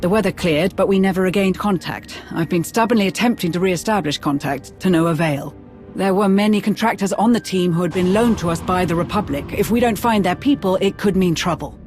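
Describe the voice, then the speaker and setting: A man's voice: sits high, fairly quick, soft background music, close to an everyday voice. A man acting an earnest military aide in a video game, speaking in a formal, urgent way. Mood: urgent, concerned. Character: earnest male military aide giving mission briefings.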